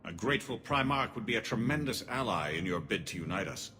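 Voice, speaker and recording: A man playing a composed, pragmatic alien councilor in a video game, speaking in a formal, measured, diplomatic manner. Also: on the low side, expressive, bright, forceful tone, a little hiss or hum. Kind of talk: cinematic game dialogue.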